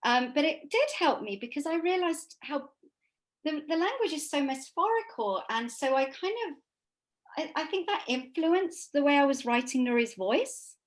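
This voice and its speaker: A woman speaking as an interviewed author, giving informal, reflective answers that come out haltingly as she thinks. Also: high-pitched, expressive.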